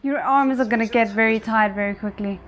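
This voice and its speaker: A woman's voice: a little high, brisk. A woman hosting a reaction video, commenting casually on a drama in short exclamations and musings. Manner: exclaims and muses aloud in short remarks.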